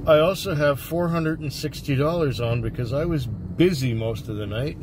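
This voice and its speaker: A man driving a taxi, talking in a tired, rambling way with many fillers, his voice slightly hoarse and congested. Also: mid-range voice, animated, normal speed. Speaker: taxi driver. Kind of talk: casual vlog monologue. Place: inside a taxi at night. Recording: noisy car interior. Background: car and road noise.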